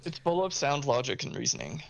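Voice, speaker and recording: A man with a gravelly edge to his voice, tossing off dry, wry comments. The sound is muffled and lo-fi, played back from a video. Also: medium pitch, huge pitch swings, fairly quick. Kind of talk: casual chat in a played video clip.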